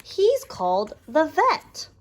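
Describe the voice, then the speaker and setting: A woman's voice: high-pitched, wildly swooping pitch, takes it slowly, pitched above the performer's own voice, full character voice. A woman voicing an eager, helpful female hen in a children's storybook. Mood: eager, reassuring.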